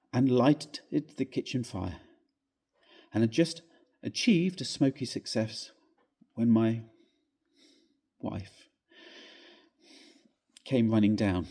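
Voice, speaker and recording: A man gives a measured, theatrical reading of a classic ghost story, with expressive phrasing. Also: mid-range voice. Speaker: story reader. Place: inside a church, live reading.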